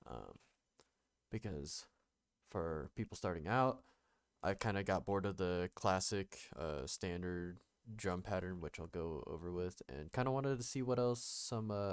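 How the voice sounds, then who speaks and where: A young man with a nasal voice, recovering from being sick, talking casually through a music production tutorial with many pauses and fillers. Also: slight background noise. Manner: halting, many ums and restarts, low-key. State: recovering from illness. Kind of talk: casual screen-recorded tutorial.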